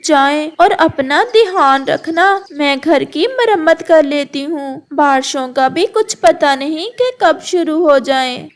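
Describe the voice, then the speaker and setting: A woman puts on a sorrowful cartoon bird wife. Her voice is very high and slow. She sounds tender and plaintive, a soft-hearted wife. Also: lively intonation. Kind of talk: Hindi moral-story cartoon dialogue.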